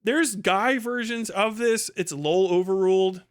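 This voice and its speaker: A man's voice: sits high, measured pace. A man hosting a livestream, giving a casual, opinionated rant in a blunt, scornful, profane manner. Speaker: livestream host and commentator.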